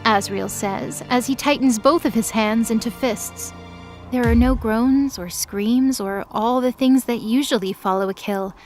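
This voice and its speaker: A woman's voice: on the high side, measured pace, darkish tone, soft background music. A woman giving dramatic scripted narration as the narrator of an audio drama.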